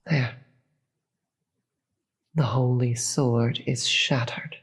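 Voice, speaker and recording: A performer voicing a grave, weary demon queen in a dramatic audio roleplay, with a breathy, husky voice and slow, deliberate delivery. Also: medium pitch, fairly even intonation, heavily acted. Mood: stern, commanding. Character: weary, commanding demon queen, secretly soft-hearted.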